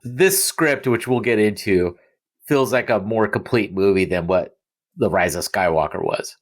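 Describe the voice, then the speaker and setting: A man's voice: on the low side, unhurried. A man hosting a podcast in a casual, conversational way with joking asides. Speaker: podcast host. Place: remote recording.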